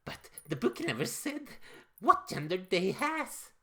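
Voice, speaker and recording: A man's voice: high-pitched, very animated, slow, pushed and bright, heavily acted. A man voicing an anxious, bookish, earnest male character in a dramatic, urgent amateur story reading.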